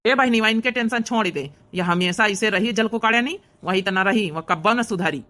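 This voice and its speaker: A woman's voice: medium pitch, a little fast, bright, forceful tone, close to an everyday voice. A woman voicing an outspoken, protective village brother with an Awadhi accent, arguing reproachfully in a cartoon comedy. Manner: argumentative, reproachful. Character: outspoken, protective grown son and elder brother, village man.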